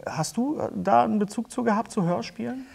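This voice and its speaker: A middle-aged man hosting a casual studio interview, halting and rephrasing his questions as he goes. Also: very high voice, creaky, a little mellow, slightly rough. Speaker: talk-show host. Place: small indoor talk-show studio.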